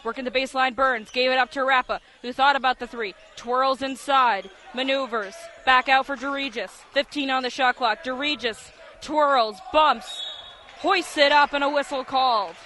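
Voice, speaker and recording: A man's voice: extremely high pitch, fairly even intonation, moderate pace, sharp tone. A man calling basketball live on the radio as play-by-play announcer, in clipped, vivid descriptive phrases. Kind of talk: live sports radio commentary. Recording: live broadcast with gym noise. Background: basketball game ambience, bouncing ball.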